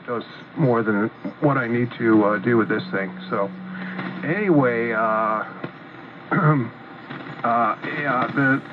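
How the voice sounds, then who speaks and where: A man chatting about his equipment as a ham radio operator, hesitant, with many fillers and some throat clearing. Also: medium pitch, takes it slowly. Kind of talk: casual radio chat. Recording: tinny radio receiver with faint static.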